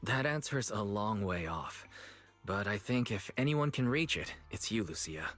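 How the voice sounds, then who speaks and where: A man playing a calm, supportive young friend who asks thoughtful questions in a video game. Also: lowish voice, unhurried. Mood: curious, encouraging. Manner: thoughtful, encouraging. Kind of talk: English video game voice acting. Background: game music.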